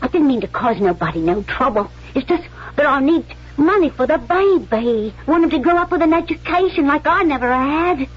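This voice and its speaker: Shrill, wronged London working-class wife. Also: female voice, expressive, moderate pace, hardly any pauses. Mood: indignant, pleading. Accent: English working-class London. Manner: loud, accusatory, plaintive. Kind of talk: radio drama acting. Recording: muffled vintage radio recording.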